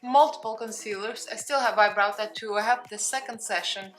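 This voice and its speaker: A woman's voice: mid-range voice, brisk, a bit bright, soft background music. A woman with a Russian accent, a makeup vlogger talking through a tutorial in a casual, candid, self-mocking way.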